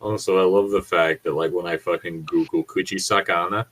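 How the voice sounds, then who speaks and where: A man with a fairly low voice co-hosts a casual podcast. He reads internet horror stories aloud and chats around them, drifting off track easily and cursing freely. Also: steady tone, brisk, a bit bright, breathy edge. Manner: easily sidetracked, freely profane. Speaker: podcast co-host.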